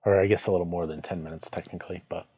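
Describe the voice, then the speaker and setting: A man's voice: low voice, steady tone, very fast, mellow, slight background noise. A man on a reading stream, speaking as a plain storyteller and casual host. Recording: dull lo-fi audio, roomy echo.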